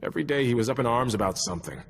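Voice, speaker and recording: An actor playing an easygoing, chatty and wry young army soldier in a video game, speaking in a musing, conversational way. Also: male voice, mid-range voice, lively intonation, rapid-fire, a little hiss or hum, lightly acted. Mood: wry, reminiscing. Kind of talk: video game cutscene dialogue.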